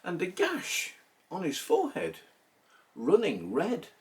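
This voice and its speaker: A man recites a narrative poem in a theatrical style, recorded a little way off from the microphone. He takes his time, leaving pauses between phrases. His middle-pitched voice rises and falls widely as he goes. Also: wildly swooping pitch, darkish tone, distant mic. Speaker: poetry reader.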